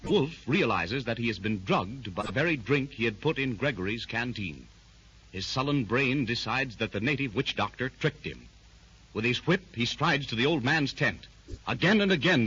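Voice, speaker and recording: 1930s radio serial narrator, male, dramatic and husky, lo-fi. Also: mid-range voice, expressive, normal speed, a bit bright. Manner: dramatic, emphatic storytelling. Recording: dull old radio recording.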